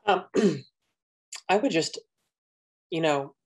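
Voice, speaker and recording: A man on a panel who speaks thoughtfully and deliberately. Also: on the high side. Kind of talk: panel discussion.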